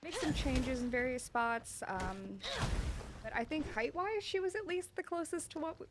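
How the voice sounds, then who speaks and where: A woman's voice: high voice, expressive, moderate pace, background noise. A woman speaking as a game artist on a panel, explaining her work in a casual, conversational way.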